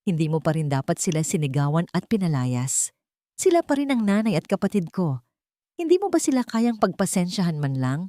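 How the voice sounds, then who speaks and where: A woman's voice: fairly low pitch, big pitch swings, a little fast, mellow, no echo, clean studio sound. A woman voicing a tired, confused husband in a story, reproachful and defensive of his mother. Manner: weary, reproachful.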